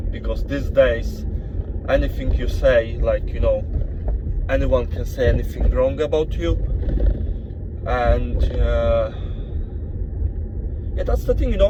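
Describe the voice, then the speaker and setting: A man with a Polish accent vlogging casually from inside a car, talking in a halting way and leaning on "you know" and restarts. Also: mid-range voice. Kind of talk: casual monologue to camera. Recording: dull sound with car and road noise.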